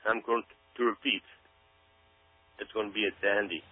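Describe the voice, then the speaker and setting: A man's voice: lowish voice, fairly even intonation, moderate pace, no echo. A man from Israel giving emphatic, explanatory talk-radio commentary as a guest, often repeating phrases for stress. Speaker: author and researcher, radio show guest. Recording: tinny phone-like radio audio.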